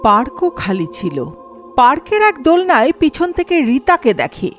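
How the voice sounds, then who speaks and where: Woman narrator, high pitch, very lively intonation, dramatic horror storytelling. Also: normal speed, faint music behind.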